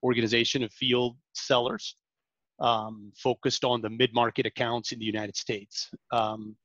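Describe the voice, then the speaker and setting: A man in his forties from Venezuela, a sales director talking as a podcast guest, halting often with ums and restarts. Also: mid-range voice, level tone, fairly bright tone, no echo, muffled recording. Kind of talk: interview conversation.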